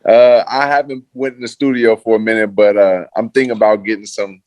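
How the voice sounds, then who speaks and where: A man's voice: lowish voice, steady tone, fairly quick, darkish tone, no echo. A man being interviewed about his football career, talking casually and loosely with lots of fillers and slang. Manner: laid-back, slangy, trails off with fillers. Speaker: interview guest, former football player. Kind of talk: casual conversation.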